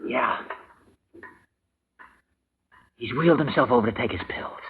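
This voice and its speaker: A man's voice: mid-range voice, fast, close to an everyday voice. An actor playing a nervy, ruthless schemer of a man in an old-time radio drama, with urgent, clipped delivery. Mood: hard, insistent. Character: nervy, ruthless nephew, a doctor plotting murder. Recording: noisy, narrow-band old radio recording.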